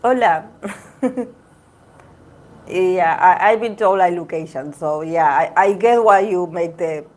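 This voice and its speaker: Chatty woman, lowish voice. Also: slow, pauses often, a little mellow. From Argentina. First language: Spanish. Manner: chatty, repeats and restarts words, laughs often. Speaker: tarot reader livestream host. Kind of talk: casual livestream chat with viewers.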